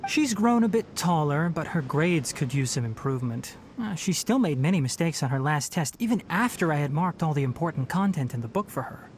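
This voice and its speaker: An actor playing a gentle young forest ranger and scholar who talks in a calm, caring, lightly teasing way. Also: male voice, a little high, fairly quick, hardly any pauses, slight background noise. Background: game music.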